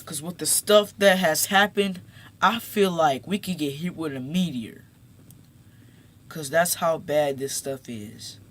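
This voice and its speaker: Teenage male YouTuber, slightly high voice, slow unhurried delivery, halting and emphatic, recorded on a phone. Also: a bit bright. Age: teens. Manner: halting, repeats words, gets emphatic. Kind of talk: casual talk to camera.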